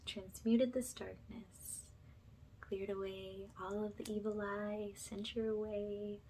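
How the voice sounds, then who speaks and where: Soft, slow, whispery woman's ASMR voice. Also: mid-range voice, level tone, slight background noise. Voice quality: soft, breathy, often slipping into whisper. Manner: gentle, slow, reassuring, affirming. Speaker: ASMR energy healer. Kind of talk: spiritual ASMR, guided energy healing.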